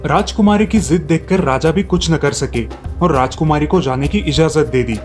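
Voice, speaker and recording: A man's voice: on the high side, moderate pace, faint music behind. A man reading a scripted fairy tale as narrator. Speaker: story narrator.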